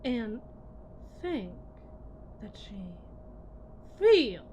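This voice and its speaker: A woman playing a cold, condescending lead technician in a scripted ASMR roleplay, speaking in a measured way that drifts into whispers. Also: a little high, big pitch swings, warm tone, highs cut off, slight background noise, exaggerated voice. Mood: pointed, intense. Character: cold, condescending female lead technician at shady tech company.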